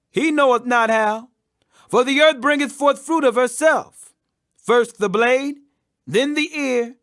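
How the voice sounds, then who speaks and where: A man's voice: very high voice, bright, forceful tone, no room sound, clean studio sound. A man reading scripture aloud as a scripted audiobook, with an emphatic, declamatory delivery. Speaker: Bible reader.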